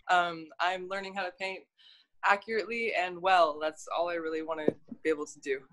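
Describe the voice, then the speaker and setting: A woman's voice: on the low side, fairly even intonation, normal speed, a bit bright. Brief: woman from Oregon, chatty art student, playful, laptop mic. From Portland, Oregon, USA. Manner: chatty, joking. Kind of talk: casual self-introduction.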